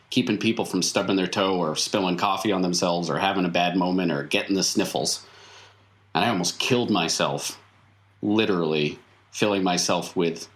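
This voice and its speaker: A man playing a weary, mysterious circus veteran in tabletop roleplay, explaining things patiently and haltingly. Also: sits low, a bit bright. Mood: regretful, weary. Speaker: game master. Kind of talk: improvised in-character tabletop roleplay.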